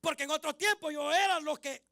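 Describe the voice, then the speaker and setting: A man's voice: very high voice, a little fast, pushed and bright, no room sound, clean studio sound. A man from Honduras, a pastor preaching a sermon, emphatic and repeating key phrases for the congregation.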